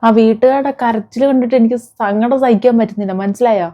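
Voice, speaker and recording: A woman's voice: on the high side, fairly even intonation, quick pace, muffled recording. A woman delivering an impassioned, confrontational vlog rant, scolding someone with repeated rhetorical questions. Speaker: vlogger. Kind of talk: impassioned rant addressed to an absent person.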